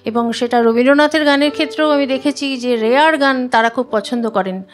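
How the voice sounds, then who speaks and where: A woman's voice: high voice, a little fast. A woman, answering interview questions in an earnest, emphatic, conversational way. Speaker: singer, interview guest.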